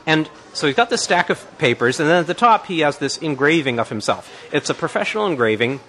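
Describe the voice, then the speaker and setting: A man's voice: fairly high pitch, big pitch swings, a little fast, pushed and bright. A man answering as a guest expert, explaining in a thinking-aloud way with many restarts and fillers.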